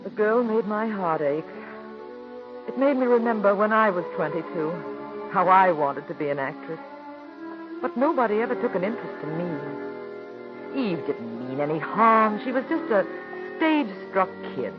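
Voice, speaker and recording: An actress in 1940s radio acting, playing a middle-aged theater woman who narrates her story in a soft, gentle voice, on a dull old radio broadcast. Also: medium pitch, lively intonation, normal speed, over music, lightly acted. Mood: reflective.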